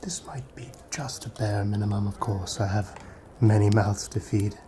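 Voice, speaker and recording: A man playing a corrupt, wheedling town guard in a fantasy ASMR roleplay, speaking softly and often dropping to a whisper, insinuating and mock-polite. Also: fairly low pitch, steady tone, moderate pace, noisy background, close to an everyday voice.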